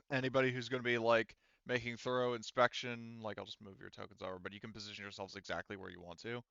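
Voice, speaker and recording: A man running a tabletop game as game master, talking casually and haltingly as he directs the players. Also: fairly low pitch, level tone, gravelly, pushed and bright, smooth, no room sound, muffled recording.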